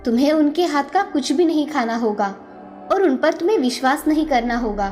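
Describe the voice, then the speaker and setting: A woman voicing a kindly, magical fairy queen in a children's story, gracious and measured. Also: high-pitched, lively intonation, a little mellow, faint music behind. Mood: helpful, advising.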